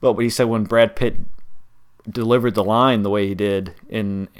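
Smooth-voiced man with a slight Wisconsin accent. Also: on the low side, steady tone. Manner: conversational, halting with restarts. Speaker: podcast co-host. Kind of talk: casual chat.

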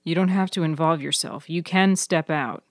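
A woman voicing an awkward, dryly witty male friend in an audio story, measured and a little wordy. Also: low voice, no room sound, studio quality, natural voice. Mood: concerned.

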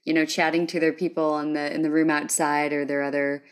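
A woman's voice: low-pitched, little pitch movement, fairly quick, smooth. An American woman hosting a podcast, chatting informally and readily amused as she responds and asks questions. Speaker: podcast host. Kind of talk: casual interview chat.

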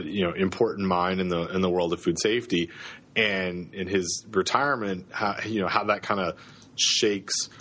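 A man with a cold talks casually as a podcast co-host, his voice low and husky. He speaks close to the microphone and keeps restarting his sentences with plenty of fillers. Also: pushed and bright. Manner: halting, many fillers and restarts. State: has a cold, sniffling. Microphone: close studio microphone.

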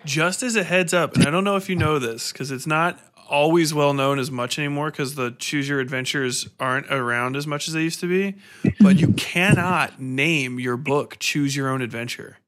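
A man's voice: on the high side, normal speed, fairly bright tone. A man in his thirties hosting a podcast interview in a casual, rambling way, telling anecdotes informally. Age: 30s.

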